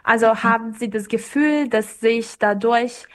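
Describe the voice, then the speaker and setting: A woman's voice: a little high, a little slow. A woman student asking formal, polite interview questions.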